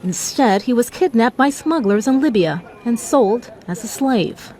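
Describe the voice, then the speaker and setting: A woman's voice: on the high side, lively intonation, measured pace, mellow. A woman reporter reading a news report in a broadcast style.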